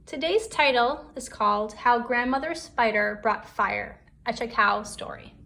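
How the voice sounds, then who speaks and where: A woman teacher reading a folktale aloud to children in a deliberate, expressive storytelling way. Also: a little high.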